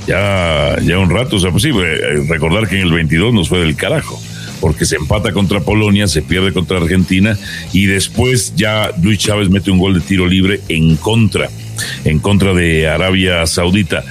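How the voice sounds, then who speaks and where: A man's voice: low-pitched, normal speed, soft background music. A man hosting a football panel discussion in an informal, colloquial way. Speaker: sports talk show host.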